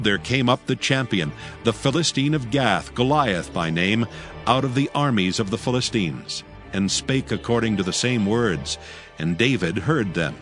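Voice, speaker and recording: A man narrating scripture from a script in a solemn, measured storytelling style. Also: medium pitch. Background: faint background music.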